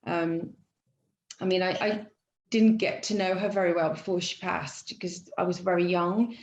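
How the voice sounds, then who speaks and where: A woman's voice: fairly low pitch, steady tone, normal speed, darkish tone, lo-fi sound. Thoughtful woman in an echoey room. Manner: reflective, hesitant, many fillers. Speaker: student guest. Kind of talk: casual podcast chat.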